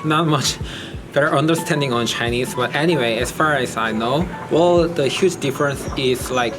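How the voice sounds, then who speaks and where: A man's voice: medium pitch, normal speed, over music. A man from Korea answering a street interview, hesitant and full of fillers like "well".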